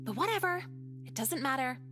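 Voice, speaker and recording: A high-voiced teenage high school girl tells her story with cynical, blunt sarcasm. She is a sweary, conversational sociopath from a visual novel game, with music clearly playing behind her. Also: lively intonation, brisk, bright, forceful tone. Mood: agitated, flippant. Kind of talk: visual novel voice acting, narration.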